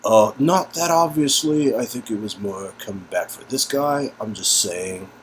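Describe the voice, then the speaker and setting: A man's voice: on the low side, measured pace, slight background noise. A man co-hosting a casual read-through of a story game, narrating scenes and chatting. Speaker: co-host and narrator.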